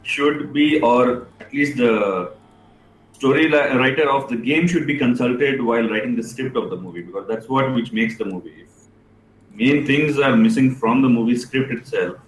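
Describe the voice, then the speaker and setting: A man's voice: mid-range voice, moderate pace, a little hiss or hum. Indian man in an echoey room. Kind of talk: casual panel discussion. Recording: roomy echo with faint music.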